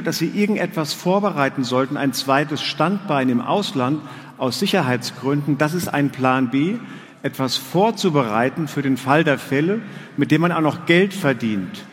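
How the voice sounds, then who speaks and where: A middle-aged man from Germany giving a sales presentation to an audience as a guest speaker. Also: fairly high pitch. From Frankfurt, Germany. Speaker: guest speaker, investment advisor. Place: large hall, on stage. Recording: long hall reverb.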